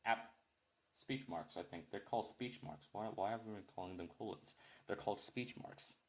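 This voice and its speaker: A man's voice: on the low side, moderate pace. Rambling young man teaching code. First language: English. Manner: rambling, self-correcting, jokey asides. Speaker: programming tutor. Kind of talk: casual screen-recorded coding tutorial.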